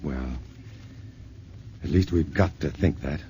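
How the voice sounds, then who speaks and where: A man's voice: a bass voice, slow, dark tone. A man playing a level-headed scientist in a 1950s radio drama, speaking steadily and reassuringly. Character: level-headed male scientist, adult, calm and protective. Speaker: scientist hero in a radio play. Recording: muffled old radio broadcast with faint hiss.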